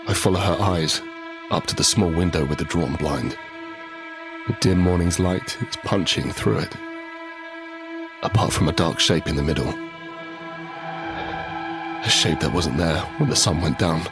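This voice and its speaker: An actor playing an anguished, guilt-ridden survivor in a post-apocalyptic audio drama, brooding and halting, in a hushed confessional manner. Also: male voice, low voice, music in the background. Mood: tense, hushed. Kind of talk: dramatic first-person audio drama narration.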